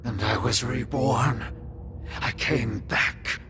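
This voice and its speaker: A man playing an ancient, sorrowful dark elf king in a video game, sly and bitter, with a theatrical, teasing, measured delivery. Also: medium pitch, steady tone, vocal fry, music in the background. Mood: anguished, bitter. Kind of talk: video game cutscene acting.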